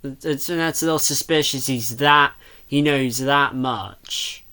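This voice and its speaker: Bright-voiced, unhurried reaction commentator, thinking aloud. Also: mid-range voice, clean tone. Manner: rambling, thinking aloud, repeats and second-guesses self. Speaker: reactor commenting on a fan comic dub. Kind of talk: casual reaction commentary on a video.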